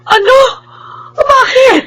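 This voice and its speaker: A woman's voice: extremely high pitch, slow pace, pushed and bright, shouting, slight background noise, exaggerated voice. A woman acting a caring middle-aged mother in a Filipino radio drama, with a motherly, emotional delivery. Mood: alarmed.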